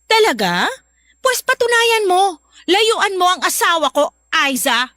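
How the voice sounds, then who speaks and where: A high-voiced, theatrical drama woman, speaking emphatically with big swoops of pitch. The delivery is radio drama, recorded close and dry. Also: very animated, slow, sharp tone, dry recording, full character voice. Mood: indignant, pleading. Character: indignant estranged wife, pleading fiercely; also teasing coworker.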